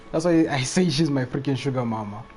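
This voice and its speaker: A man's voice: medium pitch, normal speed, a little mellow, soft background music. A man live streaming a video game, chatting casually in slangy, repetitive phrases. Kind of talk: casual live chat while playing.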